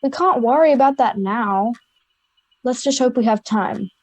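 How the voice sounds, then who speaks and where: A woman's voice: on the high side, unhurried, a little mellow. An Australian girl of ten or eleven playing an offhand, grumbling schoolgirl in an amateur radio play. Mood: matter-of-fact. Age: child, 10 to 11. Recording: home video-call recording.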